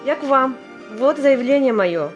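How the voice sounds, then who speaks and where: A woman's voice: high voice, lively intonation, unhurried, muffled recording. An actress playing a naive, earnest seventeen-year-old girl who volunteers for war, in a theatrical audio drama. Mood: eager, naive. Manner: earnest, stubbornly keen. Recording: music under the voice.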